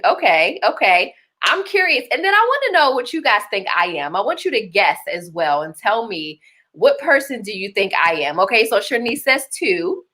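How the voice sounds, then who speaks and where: Bright, bubbly Baltimore woman hosting. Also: medium pitch. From Baltimore, Maryland. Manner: upbeat, laughs often, reads viewer comments. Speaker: host. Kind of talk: live-stream chat with viewers.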